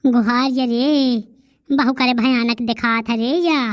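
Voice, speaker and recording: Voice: medium pitch, steady tone, takes it slowly, no room sound, clean studio sound, close to an everyday voice. A performer voicing a fretful, nosy old village granny in a cartoon comedy, exclaiming in rustic Bundelkhandi speech. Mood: alarmed, curious.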